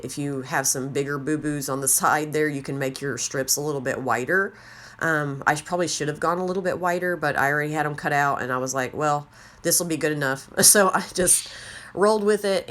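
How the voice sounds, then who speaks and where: A woman with a slightly raspy voice, chatting casually as she walks through a craft tutorial. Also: sits low, a bit bright. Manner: chatty, rambling, self-deprecating. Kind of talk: casual how-to narration.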